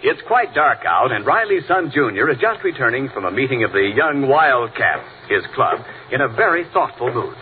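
A man's voice: medium pitch, very animated, moderate pace, few pauses. A man with an American accent reading a scripted 1940s radio show introduction as announcer. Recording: tinny vintage radio broadcast, faint noise.

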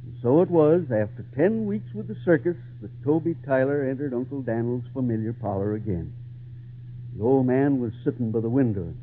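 A man's voice: medium pitch, expressive, moderate pace, lightly acted. A man playing a genial, folksy circus owner who tells stories in an old radio drama. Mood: storytelling. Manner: avuncular, chuckling, folksy. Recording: tinny, hissy vintage radio recording.

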